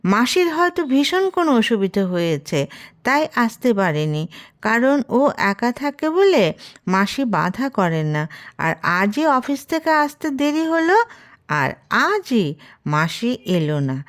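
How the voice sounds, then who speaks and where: A woman reading a short story as scripted narration, expressive and gently wry. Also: a little high, slow pace.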